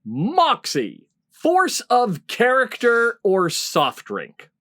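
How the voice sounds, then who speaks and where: A middle-aged man hosts a comedy panel game over a video call. His delivery is announcer-like and clearly phrased, with a very lively, swooping pitch. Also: very slow. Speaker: game show host.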